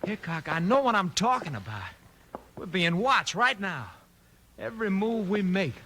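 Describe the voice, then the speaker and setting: A hot-headed young cowboy outlaw with a high, excitable voice that leaps around. He blusters defiantly in old radio western fashion, through heavy noise on a vintage recording. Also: moderate pace. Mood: insistent. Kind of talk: 1950s radio western acting.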